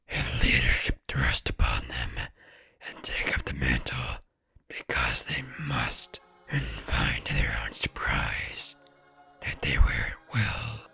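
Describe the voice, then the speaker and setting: A man voicing an ancient, proud fox spirit in a breathy whisper, speaking solemnly and slowly over music. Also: very deep voice, sing-song, bright, forceful tone, voice pushed down, exaggerated voice. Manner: slow, solemn speechifying.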